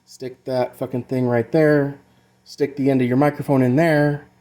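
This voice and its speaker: A man's voice: mid-range voice, level tone, moderate pace, warm tone. A man giving a casual hands-on tutorial about music gear, rambling and loosely explaining as he goes, with some swearing. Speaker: amateur music gear demonstrator.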